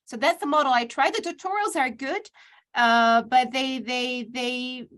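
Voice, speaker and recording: A woman's voice: high voice, unhurried, a bit bright. A woman from Tunisia, a university professor earnestly explaining how she runs AI training. She speaks spontaneously with many fillers and restarts, as if on a video call. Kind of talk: spontaneous explanation in a virtual panel.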